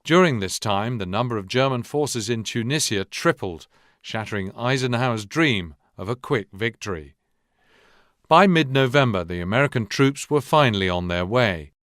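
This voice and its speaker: A man voicing the narrator of a war game, reading scripted history in a measured, serious, documentary manner. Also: medium pitch, expressive, a bit bright, no room sound, clean studio sound, close to an everyday voice.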